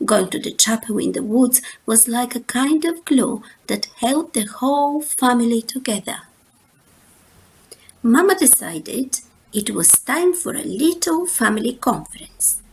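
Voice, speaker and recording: A woman reading a children's picture book aloud in a measured, expressive storytime style. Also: fairly high pitch, slightly rough, poor audio. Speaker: storytime reader.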